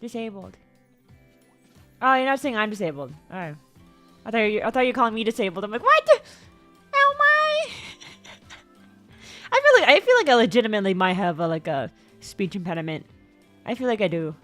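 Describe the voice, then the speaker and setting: A young woman chatting casually on a livestream. She rambles, repeats and stumbles over words, and her voice sometimes jumps suddenly into high squeaks. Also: sing-song, moderate pace, pauses often, fairly bright tone. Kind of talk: casual stream chat. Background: faint background music.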